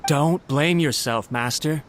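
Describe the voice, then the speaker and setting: A man's voice: fairly high pitch, slow pace. An actor playing a loyal, deferential male servant in a video game, speaking politely and earnestly. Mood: worried, supportive.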